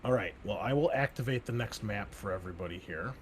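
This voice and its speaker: A man acting as host and game master of a tabletop roleplaying game, explaining the scene in a relaxed way with many fillers. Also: on the low side, quick pace, a little hiss or hum. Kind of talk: running a tabletop game session.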